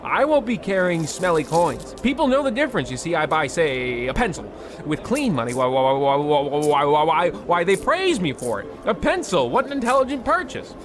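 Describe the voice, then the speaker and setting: A man's voice: a little high, sing-song, moderate pace, music in the background. A man playing a fussy, pompous, scolding husband who blusters through an old-time comedy radio play. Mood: indignant. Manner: pompous, blustering, stammering indignation.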